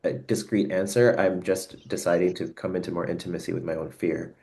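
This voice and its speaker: A man hosting a reflective conversation over a video call, speaking in an earnest, searching and halting way. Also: low voice, steady tone, gravelly, dark tone. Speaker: host.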